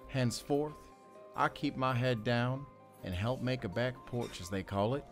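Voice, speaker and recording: Young male ranch hand, acted, audio drama. Medium pitch, wry, folksy, halting and rambling; music underneath. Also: fairly even intonation, natural voice.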